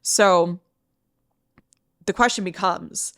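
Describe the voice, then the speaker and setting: A woman's voice: fairly low pitch, extremely slow, vocal fry. Chatty woman podcaster with a cold. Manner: chatty, many "like"s and restarts. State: sniffly from a cold. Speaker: podcast host and fitness coach. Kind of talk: solo podcast talk.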